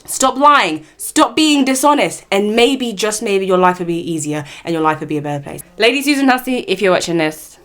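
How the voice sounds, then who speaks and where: A woman's voice: medium pitch, animated, brisk, hardly any pauses, fairly bright tone. Sarcastic woman doing commentary. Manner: sarcastic, mocking, often puts on voices to parody others. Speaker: commentary vlogger. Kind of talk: opinionated commentary monologue.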